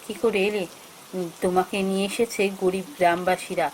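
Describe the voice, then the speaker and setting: A woman's voice: fairly low pitch, fairly even intonation, moderate pace, a little mellow, slight background noise, lightly acted, poor audio. A woman voicing a devoted, sensible married daughter in a fairy-tale cartoon, speaking earnestly. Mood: reproachful.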